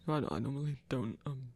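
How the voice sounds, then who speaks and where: Actor as nervous werewolf hunter, creaky husky voice, halting stammer, insecure, soft ASMR romance roleplay. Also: mid-range voice, natural voice. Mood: bashful. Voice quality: breathy, gravelly. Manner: hesitant, stammering, self-doubting. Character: shy, insecure werewolf hunter falling in love.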